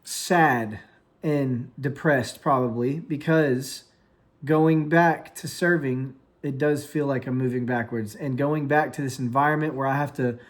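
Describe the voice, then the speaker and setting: A man's voice: mid-range voice, fairly even intonation. A man in his late twenties from the United States, talking earnestly into a microphone at home in a casual, rambling vlog with many restarts. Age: 20s. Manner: earnest, rambling, with frequent restarts and trailing pauses. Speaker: vlogger and streamer. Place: room at home with lights, plants and a cat on his lap. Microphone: studio microphone.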